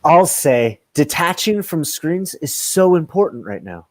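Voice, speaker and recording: A man's voice: a little high, very animated, a little slow, no echo. A middle-aged man playing a cocky, boastful outdoorsman superhero in a comedy radio play. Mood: emphatic. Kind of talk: radio comedy acting over video call. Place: room at home, video call.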